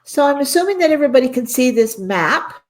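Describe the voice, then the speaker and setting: A woman's voice: high-pitched, very animated, fast talker. A woman hosting a live-stream interview on a video call, chatty and self-deprecating, laughing often. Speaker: host.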